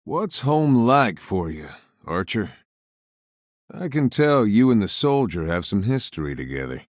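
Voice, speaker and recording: An actor playing a wry, laid-back gunslinger in a video game, with a drawling American Southern accent and folksy, cocky manner. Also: male voice, lowish voice, very animated, unhurried, no echo, studio quality. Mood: wry, casual. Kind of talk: video game character voice lines.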